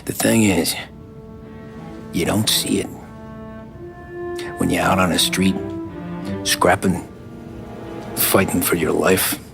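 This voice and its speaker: A man's voice: low voice, gravelly, full character voice. A man playing a hardened, brooding American mobster in a crime video game, with tough, plain-spoken delivery. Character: hardened male mob gangster, brooding ex-cab driver. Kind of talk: cinematic game acting, confessional crime narration. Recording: loud music behind the voice. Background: music.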